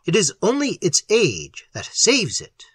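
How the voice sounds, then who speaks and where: Man acting a forceful, urgent scientist hero. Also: high-pitched, huge pitch swings, unhurried, husky, no room sound, pitched above the performer's own voice, full character voice. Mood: grave, insistent. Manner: forceful, emphatic, explanatory. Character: urgent male scientist at the wheel, commanding, explaining monster lore. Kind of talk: dramatized horror story reading.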